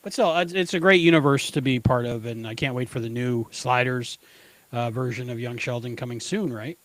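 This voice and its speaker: A middle-aged man co-hosting a podcast, chatting casually about pop culture in an animated, slangy, emphatic way. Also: mid-range voice, fairly quick. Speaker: podcast co-host.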